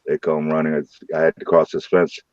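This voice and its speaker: A man with a St. Louis accent hosting a late-night live stream from his room, joking loudly and swearing freely. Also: extremely low pitch, monotone, a little fast, no echo, highs cut off. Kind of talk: casual live stream chat.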